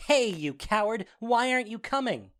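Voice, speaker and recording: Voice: mid-range voice, animated, moderate pace, fairly bright tone, clear, resonant tone, lightly acted. An actor playing a mischievous goblin sprite in an audio drama, theatrical and playful in delivery. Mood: taunting. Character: Puck, mischievous goblin sprite serving the fairy lord. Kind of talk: audio drama acting of modernized Shakespeare.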